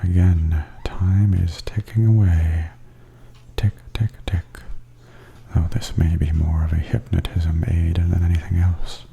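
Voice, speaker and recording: A man giving softly spoken ASMR tour commentary in a breathy, often near-whispered voice, gentle and observational. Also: low-pitched, a little slow, lo-fi sound. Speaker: vlogger giving a walking tour. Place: walking through a theme park and its indoor attractions. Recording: steady background noise and ambience.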